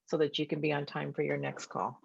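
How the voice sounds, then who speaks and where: A woman's voice: sits low, flat delivery, a little fast, vocal fry, highs cut off, slight background noise. A woman hosting a video call, prompting guests with encouraging questions. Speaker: host of a testimonial call. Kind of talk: casual video call interview.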